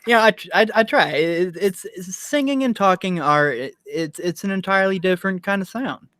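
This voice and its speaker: A man from the United States with a high voice, a podcast guest in casual chat who stammers with repeated starts and laughs often. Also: normal speed, a bit bright.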